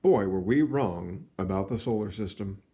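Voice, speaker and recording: A man reading a children's science book aloud in an expressive storytelling manner, stretching words for emphasis, with casual asides. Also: lowish voice, slight background noise. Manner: animated, storytelling delivery with drawn-out emphasis. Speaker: read-aloud reader.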